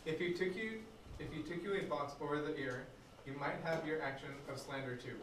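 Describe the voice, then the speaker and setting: A man's voice: on the high side, brisk, fairly bright tone. A man, a student, reading old stage dialogue aloud in a classroom, away from the microphone. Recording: echoey room, distant mic, faint noise.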